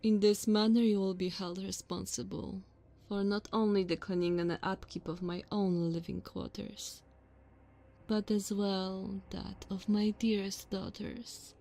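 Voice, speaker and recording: Woman doing a tall vampire noblewoman for asmr roleplay, really slow and drawn out, haughty, bossy, mocking, talks all formal. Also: medium pitch, little pitch movement, creaky, dark tone. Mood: stern, formal. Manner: slow, condescending, theatrical formal diction.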